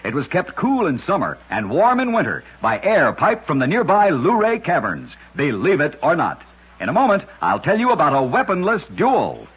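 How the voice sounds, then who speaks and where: A man hosting short radio tales of oddities, reading scripted narration in a measured old-time style with emphatic sign-offs. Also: fairly high pitch, huge pitch swings, slightly rough. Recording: dull, lo-fi old radio broadcast.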